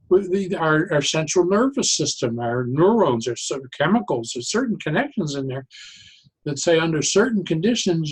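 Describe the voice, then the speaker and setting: Man, raised pitch, husky voice, conversational interview guest, animated, halting explanations, self-interrupting. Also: rough recording. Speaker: podcast guest, psychologist turned joy advocate.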